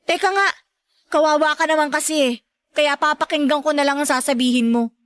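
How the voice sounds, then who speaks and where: A woman acting as a feisty young lead in a radio drama. She has a high voice and answers with cool, firm composure. The sound is dry. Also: steady tone, fairly quick, fairly bright tone, no room sound. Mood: cool, defiant.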